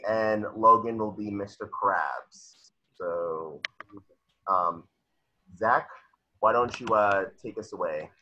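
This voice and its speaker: A man's voice: lowish voice, slow, long pauses, pushed and bright, muffled recording, slight background noise. A man casually hosting a table reading, chatting and announcing to the group.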